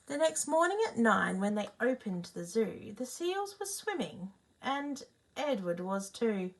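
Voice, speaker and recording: Expressive woman reading to children. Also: a little high, low-quality recording. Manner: expressive, pausing to ask young listeners questions. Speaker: storyteller. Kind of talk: reading a children's picture book aloud.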